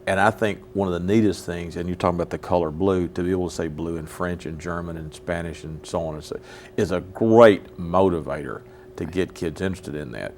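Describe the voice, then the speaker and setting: A man's voice: sits low, brisk, darkish tone, slight background noise. A man hosting a casual interview on local television, chatty and given to repeating words as he searches for them. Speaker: host. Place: local TV studio.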